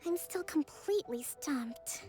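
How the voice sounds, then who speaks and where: A thoughtful young female leader from a video game. Her voice is high and gentle, with measured, articulate phrasing and a composed temper. Soft music plays behind her. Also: animated. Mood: weary.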